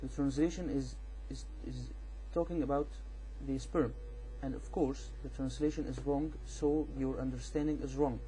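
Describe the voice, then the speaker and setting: A man with an Egyptian accent, a native Arabic speaker, giving an informal explanatory rebuttal in a halting, repetitive, insistent way. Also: medium pitch, fairly even intonation, dark tone, a little hiss or hum, poor audio. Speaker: amateur video responder on religion.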